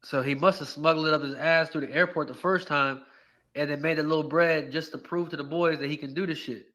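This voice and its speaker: A man riffs sarcastically through a reaction video in crude, casual talk. His voice is slightly high and bright with some edge to it. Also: fairly even intonation, fairly quick, poor audio. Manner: crude, sarcastic, speculative storytelling.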